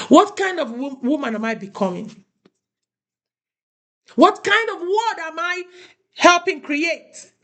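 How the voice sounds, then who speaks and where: A woman from Nigeria giving a motivational talk, preaching and repeating key phrases for emphasis. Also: sits high. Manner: asks listeners "do you understand?". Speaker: host of a women's motivational show.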